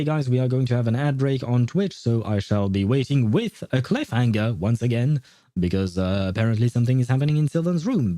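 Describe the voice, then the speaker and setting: A man's voice: fairly low pitch, warm tone, no echo, clean studio sound. A man hosting a gaming livestream, chatting casually and rambling as he thinks aloud. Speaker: livestream host, gamer. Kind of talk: casual livestream chat and game advice.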